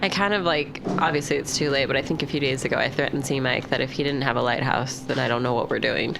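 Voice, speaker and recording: A woman with a fairly low voice takes part in a tabletop role-playing game. She speaks in a casual, informal way. Clear background music plays under her. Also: quick pace.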